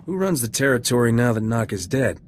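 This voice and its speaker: A man's voice: mid-range voice, lively intonation, a little fast, slight background noise, lightly acted. A man playing a flippant, flirtatious smuggler captain in a video game, cool and unflappable. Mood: easy, curious. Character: cocky, charming male smuggler starship captain, unflappable. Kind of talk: video game cutscene acting.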